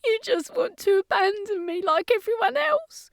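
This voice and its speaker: A woman's voice: very high voice, expressive, moderate pace, gravelly, pitched above the performer's own voice, full character voice. A woman voicing a tearful, desperate young orphan girl in a narrated story. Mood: pleading, hurt. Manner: sobbing, desperate pleading.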